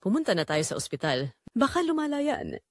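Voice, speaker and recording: A woman's voice: fairly high pitch, big pitch swings, brisk, dry recording, clean studio sound, voice pushed up. A woman voicing a caring female neighbor in an audio drama, urgent and worried. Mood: alarmed, worried. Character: Aling Bebang, alarmed, caring woman neighbor.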